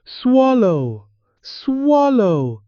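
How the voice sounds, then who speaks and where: A man's voice: very high voice, wildly swooping pitch, drawn-out words, warm tone, no room sound, highs cut off, pitched above the performer's own voice, exaggerated voice. A man voicing a sorrowful, generous prince statue in a storybook, speaking solemnly and imploringly.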